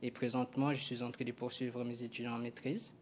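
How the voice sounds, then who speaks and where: A young man answers questions as a TV studio guest. His delivery stays flat and even, and he pauses often with filler sounds while searching for words. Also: mid-range voice, slight background noise. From Kinshasa, DR Congo. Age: young adult. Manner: hesitant, many filler sounds. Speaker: guest, graduate student. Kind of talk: interview answers.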